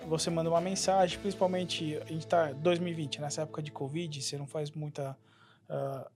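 A man from Brazil co-hosting a casual podcast, talking in a relaxed, agreeable way. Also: on the high side, a little fast, music in the background. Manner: relaxed, agreeable, leans on "cara" and "né". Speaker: podcast co-host. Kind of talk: casual chat.

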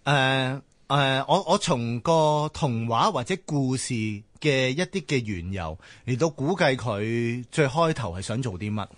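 A man's voice: medium pitch, lively intonation, a little slow, hardly any pauses, no echo. A man with a Hong Kong Cantonese accent explaining ideas in a casual panel discussion, often checking that listeners follow. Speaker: talk show panelist.

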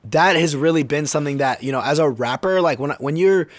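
A young man with a sore throat, slightly hoarse, hosting his own podcast in a laid-back, slangy, rambling way. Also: fairly high pitch, brisk, dry recording. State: sore throat, getting over being sick. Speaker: podcast host. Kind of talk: casual solo podcast talk.

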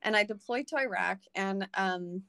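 A woman's voice: medium pitch, normal speed, creaky, pushed and bright, no room sound, studio quality. A young adult woman, a podcast guest, talking earnestly in a casual conversation, with many ums and restarts.

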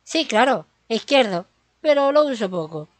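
A woman's voice: a little high, big pitch swings, moderate pace, very noisy. A woman playing a naive, good-natured family man in a comic radio play, with an accent from Spain and an exclamatory delivery. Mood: weary, grumbling. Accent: Spanish (Spain).

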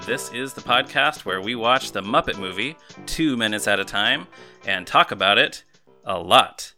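A man's voice: on the low side, expressive, normal speed, pushed and bright, faint music behind. A man in his mid-thirties hosting a casual movie podcast. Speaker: podcast host.

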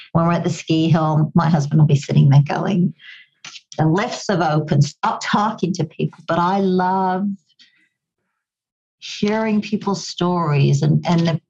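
A woman's voice: on the low side, gravelly, a little mellow, highs cut off. A woman from Goa raised in Kenya, with a slight English-tinged Kenyan accent, speaking earnestly as a podcast guest and often restarting her words. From Goa, India; raised in Kenya. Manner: earnest, reflective, repeats words as she restarts. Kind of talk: conversational interview answers.

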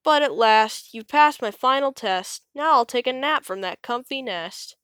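A cocky little dinosaur boy with a high, excited, shrill voice that cries out loudly, as a woman reads him aloud. He sounds smooth and clear in a dry room. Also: unhurried, dry recording. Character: boastful little male dinosaur prankster.

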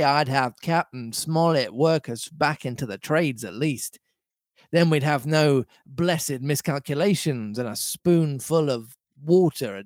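A man's voice: on the high side, dry recording, studio quality. A man voicing a scheming, domineering pirate cook from a storybook, speechifying emphatically in seafaring dialect. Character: Long John Silver, scheming, domineering pirate sea cook.

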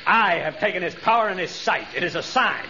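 An actor playing a boastful, scheming villain who poses as an all-powerful magician in a pompous 1930s radio acting style. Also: male voice, high-pitched, animated, brisk, bright, forceful tone, full character voice. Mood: commanding, menacing. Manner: pompous, theatrical, menacing. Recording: muffled, noisy 1930s radio recording.

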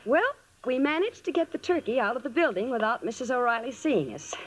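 An actress playing a sensible, exasperated young woman in an old-time radio comedy, wry and brisk in delivery. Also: on the high side, animated, close to an everyday voice. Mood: wry narrating. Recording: old radio recording, faint noise.

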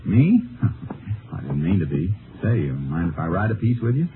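An actor playing a calm, shrewd Texas Ranger in a 1950s radio drama, speaking laconic, folksy Western American English. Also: male voice, deep voice, moderate pace, background noise. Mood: folksy, easygoing. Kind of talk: 1950s radio drama acting with first-person narration. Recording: muffled old-time radio recording with music.

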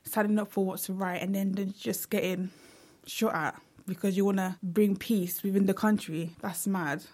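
A young woman talking straight to the camera in a casual, earnest way. Her pitch sits in an ordinary middle range, and she leans on "like" and "you know" as she searches for words. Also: steady tone, moderate pace, a little mellow. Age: young adult. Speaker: vlogger.

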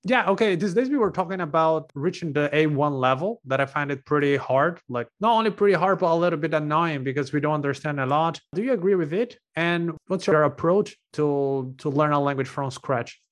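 A man's voice: fairly high pitch, brisk, hardly any pauses. Eager man hosting a podcast. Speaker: podcast host. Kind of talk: scripted podcast intro and questions.

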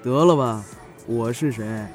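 A man's voice: mid-range voice, unhurried, a little mellow, faint music behind, natural voice. An actor playing a chatty, cynical male best friend in a Chinese audio drama, needling and flippant. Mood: teasing.

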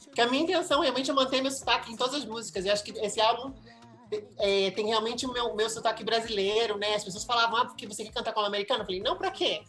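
A woman's voice: fairly high pitch, rapid-fire, fairly bright tone, faint music behind. A Brazilian woman in her thirties, a singer-songwriter, talking expressively in a casual interview. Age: 30s.

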